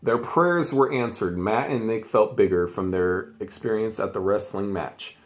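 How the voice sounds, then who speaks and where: A man's voice: on the low side, a little slow. A man reading a children's storybook aloud in an informal, chatty way, with casual asides. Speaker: amateur storybook reader.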